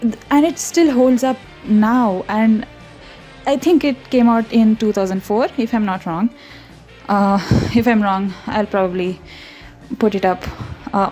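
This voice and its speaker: A woman's voice: medium pitch, darkish tone. A young woman from India whose first language is not English, chatting loosely in a voiceover over her painting, often giggling and correcting herself. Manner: rambling, chatty, frequent giggles and self-corrections. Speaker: art channel host. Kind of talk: casual voiceover over a speedpaint.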